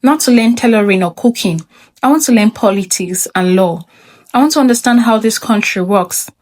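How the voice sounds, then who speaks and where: A woman's voice: mid-range voice, moderate pace, a little mellow, lightly acted. Woman voicing a determined, defiant teenage girl. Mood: quietly insistent. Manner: earnest, insistent.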